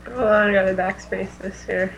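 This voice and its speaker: A woman's voice: mid-range voice, fairly even intonation, normal speed, creaky, rough recording. A woman co-hosting a fan podcast, chatting in a casual, informal way. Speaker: podcast co-host.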